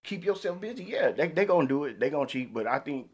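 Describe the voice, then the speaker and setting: Halting man in casual conversation. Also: fairly high pitch, animated, breathy edge. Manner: halting, repetitive, colloquial. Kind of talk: casual chat.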